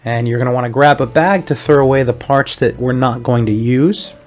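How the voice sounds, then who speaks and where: A man's voice: mid-range voice, fairly even intonation, a little fast, faint music behind. A young man from Puerto Rico hosting a casual cooking vlog, walking through a recipe in a chatty, upbeat way. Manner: upbeat, chatty, step-by-step instructions.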